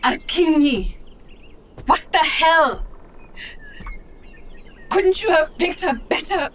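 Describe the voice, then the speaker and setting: Young activist girl, really high voice, slow delivery, blunt and wide-eyed, faint noise behind. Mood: annoyed. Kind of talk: motion comic voice acting.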